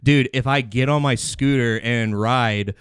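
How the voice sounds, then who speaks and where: A man's voice: medium pitch, unhurried, clear, resonant tone, dry recording, studio quality. A man hosting a casual chat, riffing in slangy language and laughing often as he talks. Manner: laughs often while talking; slangy, says dude and like a lot. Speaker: podcast host.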